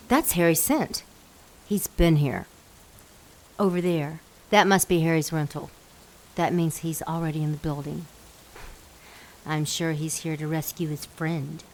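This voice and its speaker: A scheming demon temptress speaks in a fairly low voice. She is coy and commanding, as in an audio drama. Faint ambient noise sits behind her. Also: slow pace, long pauses, poor audio. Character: seductive, scheming demon woman with a tail.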